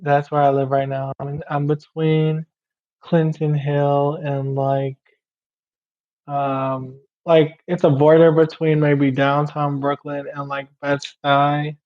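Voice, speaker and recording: A man's voice: a little high, slow. A young man from Guyana, a podcast guest chatting casually and laughing easily. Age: young adult. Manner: relaxed, laughs easily, talks in loose run-on phrases. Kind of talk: casual interview chat. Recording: somewhat dull, muffled sound.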